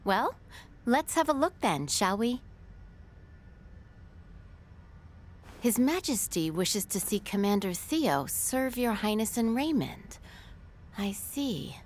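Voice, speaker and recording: Animated, self-assured scholarly woman. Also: mid-range voice, a little slow, slight background noise. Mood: wry, thoughtful. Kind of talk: video game cutscene acting. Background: music.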